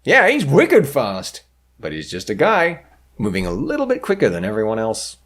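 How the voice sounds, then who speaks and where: A man's voice: medium pitch, huge pitch swings, moderate pace, fairly bright tone. A man narrating a scripted video essay, with theatrical, sardonic emphasis.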